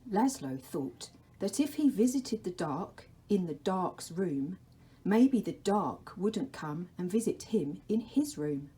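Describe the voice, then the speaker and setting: A woman reading a children's storybook aloud as a teacher, with measured, gentle storytelling. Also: fairly low pitch, big pitch swings. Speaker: primary school teacher.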